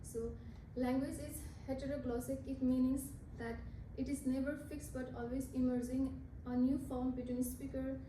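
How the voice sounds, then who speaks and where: Young woman, Nepali first language, class speech, hesitant restarts, high even pitch, echoey room. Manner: halting, with restarts and self-corrections. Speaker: student speaker. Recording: roomy, from a distance, with noise.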